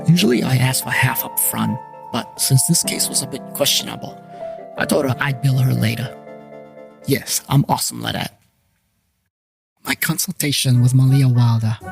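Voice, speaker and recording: A man's voice: mid-range voice, gravelly, natural voice. Male lead, actor as paranormal gumshoe: mid-range, wry scripted narration, colloquial and self-deprecating, light guitar underneath. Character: wisecracking male private paranormal investigator and ex-cop. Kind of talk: scripted first-person noir-comedy narration. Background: guitar music.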